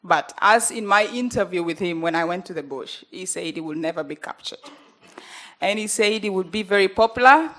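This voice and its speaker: A young woman with a Ugandan accent talks at a panel in an earnest, halting way. Her voice sits in a middle pitch, and she often stops on fillers before going on. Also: expressive, fairly bright tone. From northern Uganda. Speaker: journalist panelist. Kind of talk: panel talk.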